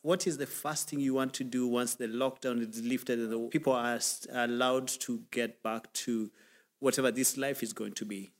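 A man's voice: mid-range voice, fairly even intonation. Kenyan man hosting a podcast. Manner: halting, repeats words. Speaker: podcast host. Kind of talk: casual podcast interview.

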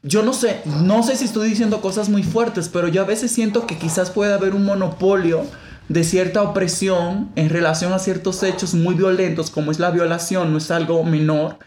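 A woman from the Dominican Republic with a Dominican accent, hosting a reflective discussion. She thinks out loud, restarts often and leans hard on key words. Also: on the low side, fairly even intonation, moderate pace, roomy sound. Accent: Dominican Spanish. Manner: thinks aloud with frequent restarts and emphatic stress. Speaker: podcast host.

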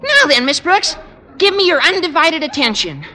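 An actor playing an eager, cheeky teenage schoolboy with a squeaky voice in a radio sitcom. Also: male voice, very animated, moderate pace, pushed and bright, full character voice. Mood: scheming, cheeky. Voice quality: squeaky, adolescent-sounding. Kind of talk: 1950s radio sitcom acting. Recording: old radio recording, long reverb.

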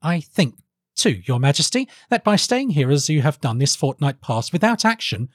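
A man's voice: on the high side, big pitch swings, normal speed, dry recording, clean studio sound. A man voicing an eager young man who pleads his case to a king in an earnest, insistent way, as in a storybook reading. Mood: earnest, urging. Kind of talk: audiobook character voice.

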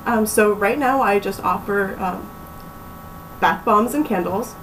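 A young woman from Pennsylvania, chatting casually as a small business owner in an interview, relaxed and full of ums. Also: medium pitch, measured pace, distant mic, a little hiss or hum, low-quality recording. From Pennsylvania, USA. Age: young adult. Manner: relaxed, chatty, laughs easily, many ums.

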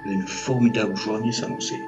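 A man with a fairly low voice narrates a scripted audio story. He tells it in measured short phrases, with music playing underneath. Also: fairly even intonation.

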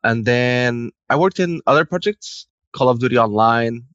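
A man's voice: medium pitch, moderate pace, fairly bright tone, dry recording. Slightly muffled Portuguese-accented man being interviewed. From Lisbon, Portugal. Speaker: guest, video game character artist. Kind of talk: conversational interview.

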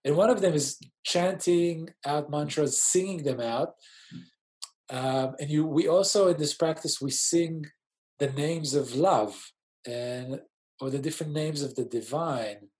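A man's voice: fairly high pitch, measured pace, rough recording. A man, a musician guest talking in a casual interview and explaining things thoughtfully. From Israel. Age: 40s. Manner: thoughtful, explains gently with many ums.

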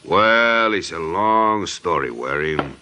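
An actor playing a smooth, menacing gangster with a mocking manner in a radio detective drama. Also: male voice, deep voice, animated, slow pace, sharp tone, heavily acted. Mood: smugly menacing. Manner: mocking, falsely genial, broken grammar.

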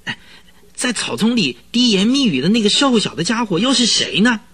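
An actor in a radio drama playing an excitable, easily frightened horse trainer who tells things in a husky, rambling, dramatic way. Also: male voice, high voice, brisk, full character voice. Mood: puzzled, chatty. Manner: rambling, dramatic storytelling full of interjections.

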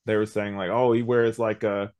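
A man with a fairly low voice talks as a podcast co-host in a casual review chat. He rambles through his explanations, often stopping and restarting a thought. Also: flat delivery, moderate pace, a little mellow, clean tone.

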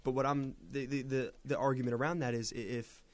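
Young male guest with a cold, sniffly, animated, stammers and restarts, dry close sound. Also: mid-range voice, brisk, dry recording. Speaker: podcast guest. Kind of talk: casual chat.

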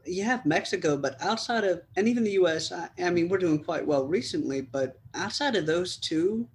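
A man's voice: high-pitched, animated, moderate pace, slight background noise. A man from the United States interviewing a footballer, asking long, rambling questions in a chatty way.

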